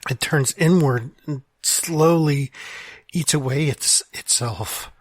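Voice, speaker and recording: An actor playing a lonely man trapped inside his own mind, speaking in a halting, stammering way with frequent sighs. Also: fairly high pitch, dry recording. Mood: despairing. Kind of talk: audio drama monologue.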